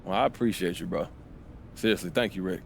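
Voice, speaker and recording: A man's voice: sits low, fast, creaky, a little hiss or hum. A man playing a confident, laid-back young college basketball star in a game, talking casually in slang. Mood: relaxed. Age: young adult. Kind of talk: casual game cutscene dialogue.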